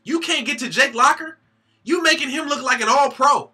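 A man's voice: very high-pitched, normal speed, bright, forceful tone. A young man from Detroit hosting a sports vlog, reviewing a game to his webcam in a heated, slangy, incredulous way. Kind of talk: animated sports rant to webcam. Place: small room with a team banner behind him.

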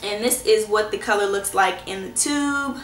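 A woman in her twenties, a little hoarse from a cold, reviewing lipsticks in a chatty, reassuring way, teasing herself now and then. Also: medium pitch, normal speed, a little hiss or hum. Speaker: beauty vlogger. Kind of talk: casual product review. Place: small indoor room at home. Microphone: camera in front at close range. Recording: echoey room.

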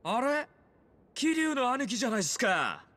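A young male gang underling with a high, very lively voice. He is cheerful and deferential, speaking in a casual, eager way in game cutscene style. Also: moderate pace, heavily acted. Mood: pleasantly surprised. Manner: casual, eager junior speech. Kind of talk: video game cutscene acting.

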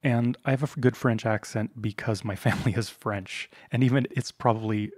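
A man co-hosting a podcast in casual chat, blunt and quick to swear. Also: mid-range voice, steady tone, no echo, clean studio sound. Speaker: podcast co-host.

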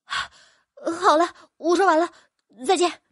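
A woman's voice: very high-pitched, steady tone, slow pace, no echo, clean studio sound, pitched above the performer's own voice. A woman voicing a flustered young girl who hesitates and stammers nervously.

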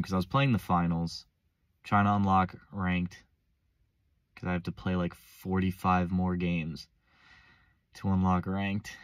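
A young man with a deep, low voice, a little hoarse as he gets over a cold. He rambles in loose, slangy chat, cutting himself off and starting over. The sound is close and dead, like a phone held up in a parked car. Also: no echo. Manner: rambling, self-interrupting, casual slang. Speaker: vlogger. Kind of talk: unscripted casual monologue. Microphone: phone front-facing selfie camera.

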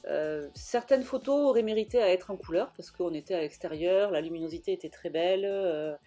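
Brief: young woman, warm low-key tone, hesitant chatty voice-over, soft music under. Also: a little fast. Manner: hesitant, many fillers and restarts. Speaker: scrapbooking vlogger. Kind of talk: casual voice-over narration.

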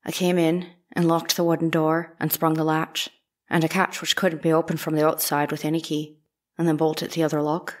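A woman's voice: deep voice, flat delivery, moderate pace, natural voice. A woman playing a plain, guarded young housemaid giving terse, deferential testimony in a courtroom drama. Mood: guarded, matter-of-fact. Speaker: actor reading a trial witness. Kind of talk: dramatic reading of courtroom transcript.

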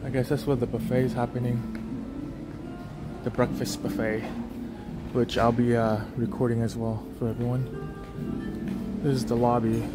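A man's voice: medium pitch, little pitch movement, a little slow, pauses often, over loud music. A young man walking through a beach resort, talking casually into a phone on a gimbal, rambling with many fillers and restarts. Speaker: travel vlogger. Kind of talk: casual walking tour commentary.

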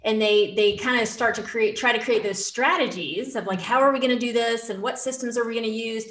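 A woman in her thirties co-hosting a podcast, explaining in an earnest, steady way over a video call. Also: a little high, a little fast. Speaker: podcast co-host, dental hygienist. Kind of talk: casual podcast chat. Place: room with wood-plank wall. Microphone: studio mic on video call. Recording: roomy echo.

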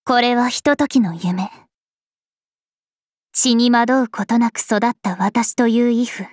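Actress voicing a regal game vampire princess, serene, formal, unhurried delivery, dry close sound. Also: female voice, fairly high pitch, a little mellow, no room sound, close to an everyday voice. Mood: calm, regal. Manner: formal, poised, measured. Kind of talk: scripted mobile game character voice lines.

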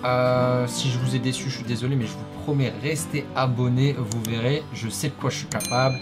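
Young man, energetic fitness YouTuber. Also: medium pitch, brisk. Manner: energetic, rambling, many fillers. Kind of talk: casual commentary over workout footage. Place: small home studio with acoustic foam. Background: guitar music.

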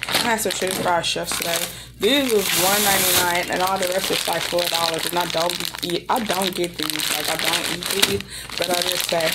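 Irritated woman venting over loud background noise. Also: fairly low pitch. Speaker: vlogger. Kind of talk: casual rambling vlog chat. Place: room in an apartment.

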